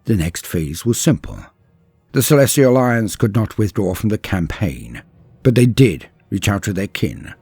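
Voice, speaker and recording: A man delivering dramatic scripted lore narration in a solemn, grave and measured storytelling manner. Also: on the low side, vocal fry, breathy edge, dry recording. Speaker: narrator and host of a lore channel.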